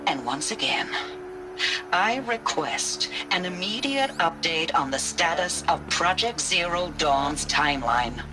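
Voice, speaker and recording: A woman's voice: low voice, lively intonation, unhurried. A stern female commanding officer in a game, dictating an official report. Her delivery is clipped, grave and disciplined, and music plays behind her. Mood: grave, insistent. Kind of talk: formal status report.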